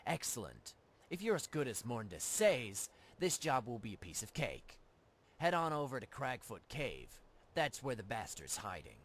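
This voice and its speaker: Man acting a sly fence with swooping pitch. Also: huge pitch swings, moderate pace. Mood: scheming, assured. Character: shady male fence and thief boss, sly, businesslike. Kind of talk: video game character dialogue. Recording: noisy ambience behind the voice.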